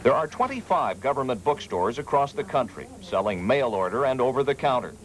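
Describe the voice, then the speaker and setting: A man's voice: mid-range voice, sing-song, fairly quick, low-quality recording. An American man working as a television reporter, reading scripted feature narration. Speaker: TV news reporter. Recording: faint background noise, 1980s TV broadcast.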